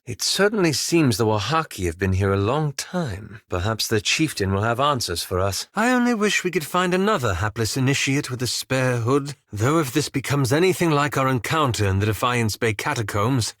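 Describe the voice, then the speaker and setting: A man playing a reserved, scholarly, self-doubting young elf adventurer in a video game, speaking in a formal, measured, wryly understated way. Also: medium pitch, a bit bright, dry recording, clean studio sound. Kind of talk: video game companion dialogue.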